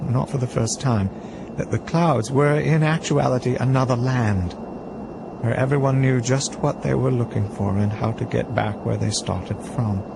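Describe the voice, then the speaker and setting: A man playing a weary, brooding first-person narrator, telling a story in a measured, reflective way. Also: medium pitch, fairly even intonation, creaky, noisy background, lightly acted. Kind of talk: scripted story narration, hardboiled. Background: music.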